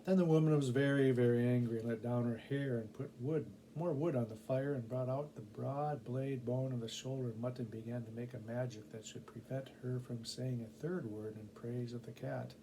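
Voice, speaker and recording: A man reading a children's story aloud with an expressive storyteller's emphasis. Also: mid-range voice, moderate pace, hardly any pauses, a little hiss or hum, poor audio. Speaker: volunteer story reader.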